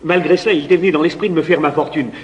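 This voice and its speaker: A man playing a nervous young gentleman in love in a classic stage comedy, earnest and hesitant. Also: on the high side, fairly even intonation, racing, dull audio, natural voice. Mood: anxious. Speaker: actor in radio play.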